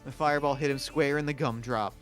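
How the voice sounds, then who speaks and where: A man narrating screenplay stage directions in a table read, brisk and matter-of-fact. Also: medium pitch, fairly even intonation, fairly bright tone, soft background music.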